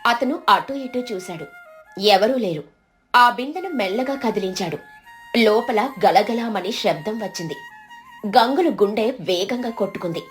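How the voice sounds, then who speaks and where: A woman's voice: a little high, lively intonation, normal speed, soft background music. A woman giving a scripted narration of a moral story as its storyteller.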